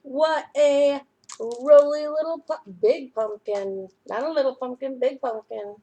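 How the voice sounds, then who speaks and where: A woman with a high-pitched voice leads a story time for young children. She reads at a slow pace, setting out each phrase deliberately. Her rhymes come out in a sing-song lilt, as a children's storyteller would read them. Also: dark tone.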